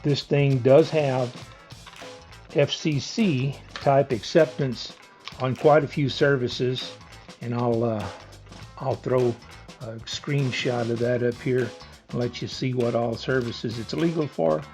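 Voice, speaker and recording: A man's voice: mid-range voice, steady tone, slow, darkish tone, soft background music. A man giving a casual, plain-spoken hands-on product walkthrough, explaining things step by step. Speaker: product reviewer and demonstrator.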